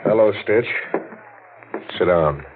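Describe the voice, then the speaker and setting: Grave methodical DA, slow delivery, official manner, 1940s radio drama, narrow tinny recording. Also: male voice, deep voice, background noise. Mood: businesslike. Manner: deliberate, official. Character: grave, methodical male district attorney. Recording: tinny old radio broadcast.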